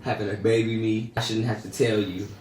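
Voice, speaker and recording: A teenage boy casually telling a story about his day in slangy, laid-back talk that often trails off soft and quiet. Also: fairly low pitch, little pitch movement, fairly quick, distant mic. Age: teens. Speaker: vlogger. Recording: echoey room, a bit off-mic, faint noise.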